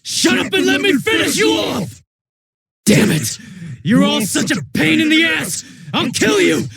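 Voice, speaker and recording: An American man playing a cynical, bitter young villain in a video game, with a husky voice and a flippant, contemptuous manner. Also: very high-pitched, sing-song, a little slow, a bit bright, no echo, shouting, clean studio sound, exaggerated voice. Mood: enraged, unhinged. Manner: flippant, mocking, contemptuous drawl. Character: cynical, bitter, sneering young male villain turning unhinged.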